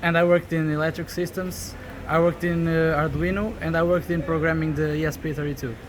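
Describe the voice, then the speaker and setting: Portuguese man, halting project demo, fairly high voice, echoey room with loud noise behind. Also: flat delivery. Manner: halting, many fillers. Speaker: student team member presenting a project. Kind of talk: casual project presentation.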